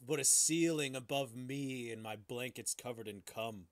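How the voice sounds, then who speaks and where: A man's voice: mid-range voice, moderate pace. A man co-hosting a podcast with casual comic commentary, crude and mock-dramatic in his joking. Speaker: podcast co-host.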